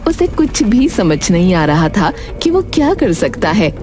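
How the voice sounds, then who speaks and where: A woman's voice: medium pitch, sing-song, unhurried. A woman narrating a scripted fairy tale as a storyteller. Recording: music behind the voice. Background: background music.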